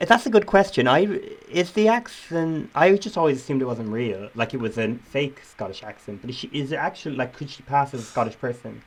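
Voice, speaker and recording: A co-host chats casually on a podcast in a medium-pitched voice. The delivery is informal and gossipy, full of giggly reactions and exclamations. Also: animated, brisk, hardly any pauses. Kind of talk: casual chat, reacting to the main narrator.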